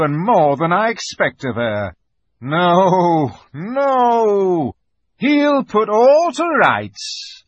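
Man playing an old storybook beaver, grave and kindly, rustic, husky, swooping pitch, slow delivery. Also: fairly bright tone, no room sound, dull audio, heavily acted. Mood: hearty, proud. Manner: slow, emphatic, folksy. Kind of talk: dramatized storybook reading.

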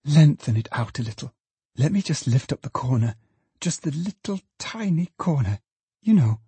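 A man's voice: mid-range voice, very animated, normal speed, dark tone, breathy edge, dry recording, pitched above the performer's own voice. A man voicing a cheerful young woman, an affectionate, teasing daughter in a storybook, with playful exclamations. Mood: gleeful, playful.

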